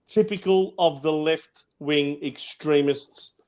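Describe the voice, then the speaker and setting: A man with an Australian accent hosts a footy talk show in a big, booming voice that sits a little high for a man. He rambles and restarts as he runs through scores and stats, opinionated and sure of himself. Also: slow pace, crowd noise. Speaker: podcast host. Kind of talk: casual footy chat, reading out match stats.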